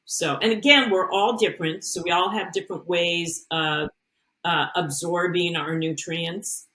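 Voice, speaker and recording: A woman with a fairly low voice talks as a health coach on an interview show. Her advice comes out earnest and emphatic, and she restarts her sentences often, the way people do in relaxed conversation. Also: a little slow, rough recording. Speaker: health and nutrition coach, guest. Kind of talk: casual interview talk.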